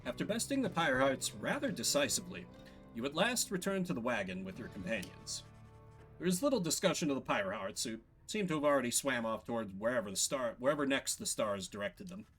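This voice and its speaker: A man reads a fantasy game's narration aloud as he streams it. His intonation is lively and storybook-like, dropping into casual asides. Soft music sits behind his voice. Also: mid-range voice, fairly quick. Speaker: streamer playing and voicing a story game.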